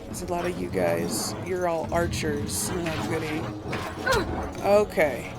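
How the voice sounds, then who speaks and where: A woman giving casual video game commentary, thinking aloud in a halting, musing way as she plays. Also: on the low side, fairly even intonation, creaky, over loud music. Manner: halting, musing, talks to herself. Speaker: host of a video game playthrough.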